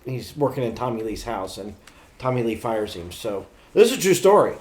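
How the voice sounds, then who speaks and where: A middle-aged man co-hosting a podcast, telling stories about pop culture in a blunt, animated, slightly crude casual way. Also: medium pitch, moderate pace, a little hiss or hum. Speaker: podcast co-host.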